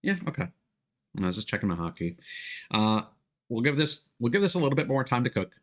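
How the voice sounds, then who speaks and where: A man's voice: medium pitch, sing-song, brisk. A man giving casual live gameplay commentary as a streamer, rambling and restarting his sentences mid-thought.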